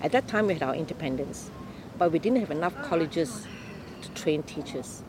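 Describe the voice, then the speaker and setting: An older woman from Malaysia telling her life story in an unhurried, explaining way during an interview. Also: deep voice, animated, noisy background. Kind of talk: oral history interview.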